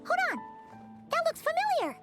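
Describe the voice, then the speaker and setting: A woman voicing a tiny, excitable childlike fairy companion in a video game, squeaky and chirpy. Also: moderate pace, heavily acted. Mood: surprised. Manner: exclamatory, chirpy. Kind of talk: video game character acting. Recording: loud music under the voice.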